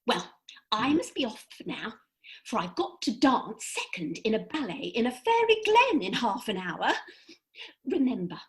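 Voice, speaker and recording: A woman playing a brisk, bossy fairy in a comic radio play, speaking in an emphatic, precise, lecturing way. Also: fairly high pitch, big pitch swings. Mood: airy, reassuring. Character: brisk, bossy female fairy, lofty and theatrical.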